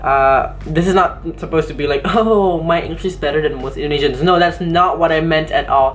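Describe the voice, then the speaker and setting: A young man from Malaysia talking casually to camera as a vlogger, rambling and correcting himself as he goes, and poking fun at himself. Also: a little high. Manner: rambling, self-correcting, self-deprecating.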